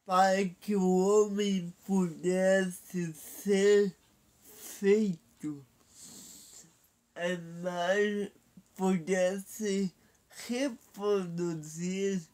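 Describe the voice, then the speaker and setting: A man preaching a Bible sermon. He has a cold, so his voice is nasal and stuffy, and he speaks in a deliberate way, pausing between phrases. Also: high voice, fairly even intonation, darkish tone. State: has a cold, blocked nose.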